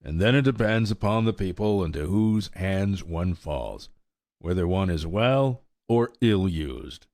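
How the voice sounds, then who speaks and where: A man voicing a sorrowful, resigned old mare in a storybook reading, speaking slowly with sighs. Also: low voice, expressive, darkish tone, no room sound, clean studio sound. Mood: cold. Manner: slow, sighing, world-weary.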